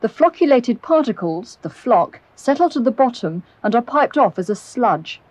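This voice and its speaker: A British woman reading scripted narration for an educational film, explaining in a clear, measured way. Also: on the high side, sing-song, a little mellow, low-quality recording. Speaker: documentary narrator. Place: close, dry voice-over recording.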